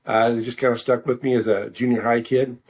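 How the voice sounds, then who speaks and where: Older guy in his 60s, husky voice, rambles and stumbles through casual interview chat. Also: mid-range voice, flat delivery, darkish tone. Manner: halting, rambling, hesitant with repeated words. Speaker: podcast guest, science fiction author.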